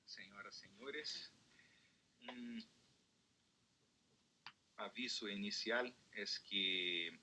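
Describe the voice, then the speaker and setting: Man w/ brazilian accent running a court hearing, super slow and bright-sounding, very formal. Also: on the low side, a little hiss or hum, poor audio. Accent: Brazilian Portuguese. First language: Portuguese. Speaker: court president.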